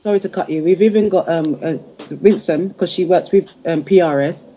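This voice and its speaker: A woman's voice: lowish voice, a little fast, warm tone, dull audio, slight background noise. A woman from the UK speaking casually as a guest in a talk-show discussion. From United Kingdom.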